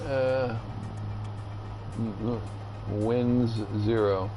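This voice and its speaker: A man streaming a video game with casual, rambling commentary, haltingly thinking aloud as he reads the menus. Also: mid-range voice, steady tone, music in the background, low-quality recording.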